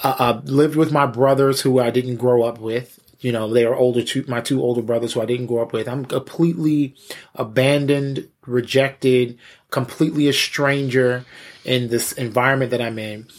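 Man, husky voice, medium pitch, pastor, candid personal testimony, halting conversational delivery, repeated words. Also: steady tone. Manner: halting, repeats words, frequent "you know". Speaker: pastor and church musician, interview guest.

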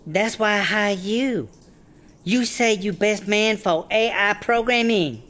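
A woman's voice: mid-range voice, slow, fairly bright tone, slight background noise. A woman playing a scheming, scornful startup boss who berates people in caricatured broken English in a comedy audio drama.

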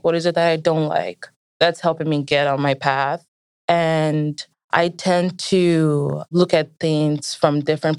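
Smooth-voiced young Nigerian American woman. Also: low-pitched, no room sound, studio quality. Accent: American with Nigerian traces. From Nigeria and the United States. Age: young adult. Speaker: interviewee. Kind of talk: reflective personal storytelling in an interview.

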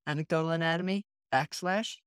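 A woman co-hosting a casual talk show, speaking in a measured, deliberate way. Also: low voice, steady tone, clear, resonant tone, no echo, clean studio sound. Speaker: podcast co-host. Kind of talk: casual reflective conversation.

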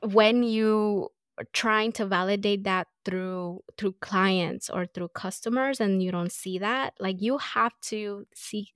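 A woman entrepreneur speaking as a podcast guest, earnest and reflective, often pausing on fillers. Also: mid-range voice, a bit bright, clear, resonant tone, no echo, studio quality. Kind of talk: casual interview conversation.

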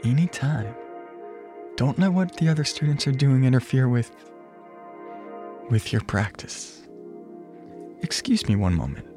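A man's voice: mid-range voice, lively intonation, measured pace, pauses often, music in the background, close to an everyday voice. A man acting a laid-back, chummy new-age yoga teacher in his late twenties in a radio drama, slangy and effusive. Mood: calm, soothing. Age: late 20s.

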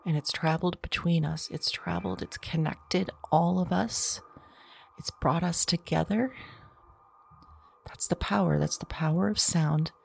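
Woman doing a husky, whispering scared woman, low, slow, repeats herself. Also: fairly even intonation, exaggerated voice. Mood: hushed. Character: frightened woman on the edge of breaking. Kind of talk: audio drama monologue.

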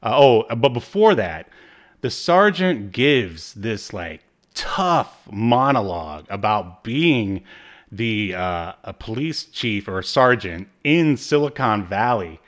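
A man with a fairly low voice talks casually as a podcast host. He recaps a story in a loose, conversational way, with joking asides and playful put-on lines. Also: very animated, slow, a bit bright. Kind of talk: casual chat recapping a TV episode.

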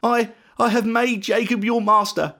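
A man's voice: sits high, big pitch swings, moderate pace, voice pushed up. A man voicing an elderly, grave and suspicious father in a Bible story, with a voice that quavers. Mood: grave, resigned. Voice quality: quavering when upset.

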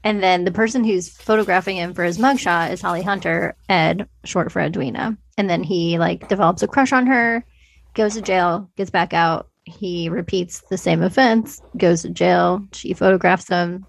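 Woman podcaster with a creaky, casual delivery. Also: on the low side, normal speed. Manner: informal, conversational, frequent "like" as filler. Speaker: podcast co-host. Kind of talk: casual film discussion.

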